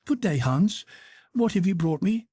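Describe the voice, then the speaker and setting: Man playing expectant young maiden, high voice, husky, storybook. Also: expressive, measured pace, mellow, no echo, studio quality, heavily acted.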